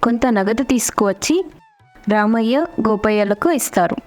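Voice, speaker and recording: A woman's voice: mid-range voice, steady tone, normal speed, a little mellow, soft background music. A woman narrator reading a scripted story.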